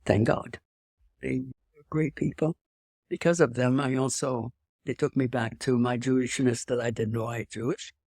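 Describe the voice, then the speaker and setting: A woman's voice: extremely low pitch, steady tone, moderate pace, darkish tone, husky, no echo, studio quality. A woman in her eighties with a slight French accent, telling her life story as an interview guest in a rambling way, with restarts and emphatic asides. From Europe. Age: 80s. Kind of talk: personal storytelling in conversation.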